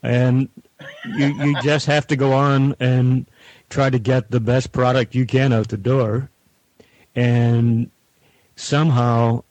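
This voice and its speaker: A middle-aged or older man, a guest producer, talking reflectively in measured, deliberate short phrases. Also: mid-range voice, a little mellow, no room sound, rough recording. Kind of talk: reflective panel talk.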